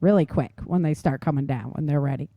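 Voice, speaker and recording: A woman's voice: deep voice, quick pace, no echo, lo-fi sound. A middle-aged American woman hosting a podcast, talking informally and emphatically as she instructs her listeners directly. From United States. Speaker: podcast host. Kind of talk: casual instructional podcast talk.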